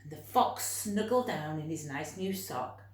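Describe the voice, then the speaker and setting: A woman reads a children's story with chatty asides. Her delivery is slow, with lively swings in pitch. The recording has a clear room echo.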